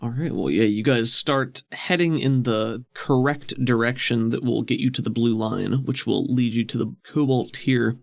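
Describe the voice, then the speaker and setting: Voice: mid-range voice, normal speed, gravelly, hardly any pauses. A game master narrating a tabletop role-playing game in a casual way and bantering with the players.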